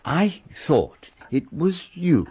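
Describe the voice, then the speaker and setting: An actor playing an earnest, courteous gentleman amateur detective in a period radio whodunit, urbane and slightly pompous, with a husky upper-class English voice. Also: a little high, sing-song, drawn-out words, very noisy, exaggerated voice. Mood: puzzled, earnest. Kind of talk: period radio drama acting.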